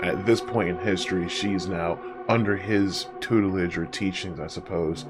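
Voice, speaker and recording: A man hosting a casual, conversational review monologue with informal, rambling asides. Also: sits low. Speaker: host of an audiobook review series. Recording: music behind the voice. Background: music.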